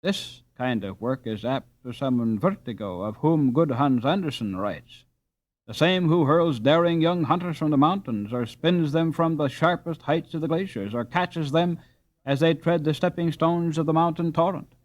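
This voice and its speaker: A man reading a storybook aloud as an audiobook narrator, in an expressive, scripted style. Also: fairly high pitch, normal speed.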